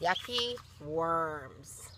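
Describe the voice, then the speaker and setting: A woman's voice: low-pitched, expressive, drawn-out words, noisy background. A woman reading a picture book to children, expressively, with chatty asides and simple explanations. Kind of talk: read-aloud with chatty asides.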